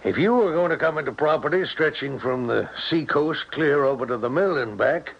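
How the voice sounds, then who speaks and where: A folksy old small-town father, fretting and rambling in a high, creaky voice that rises and falls widely. It sounds like a noisy, muffled radio drama from the 1970s. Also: animated, moderate pace, exaggerated voice.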